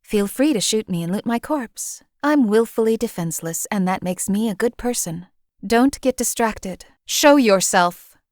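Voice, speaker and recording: A woman voicing a cold, calculating female assassin companion in a game, with a calm, detached, clipped delivery. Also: medium pitch, unhurried, no echo, clean studio sound, close to an everyday voice. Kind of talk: game voice lines.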